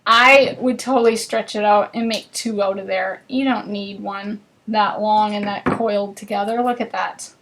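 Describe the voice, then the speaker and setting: A woman's voice: mid-range voice, fairly even intonation, moderate pace, few pauses, a bit bright. A woman with a northern US accent, chatting to viewers as she hosts a live card-making class. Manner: chatty and bubbly, chatting with viewers while working. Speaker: craft class host. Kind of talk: live crafting demonstration.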